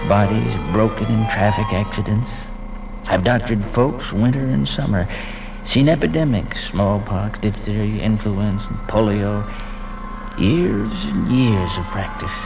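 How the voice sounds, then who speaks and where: An actor playing an elderly country doctor, thinking aloud in a halting, reflective way in 1950s radio drama style. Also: male voice, on the low side, low-quality recording. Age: older. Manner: halting, reflective inner monologue. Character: weary, awestruck elderly male country doctor, humbled and reminiscing. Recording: vintage radio recording, loud music behind. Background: loud music.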